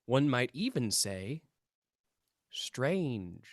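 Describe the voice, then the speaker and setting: Man playing a slow, dramatic paranormal investigator, lively pitch. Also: no echo, clean studio sound, full character voice. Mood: conspiratorial. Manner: self-important, dramatic, conspiratorial. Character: intense, earnest young male amateur paranormal investigator. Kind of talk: table read of a TV pilot script.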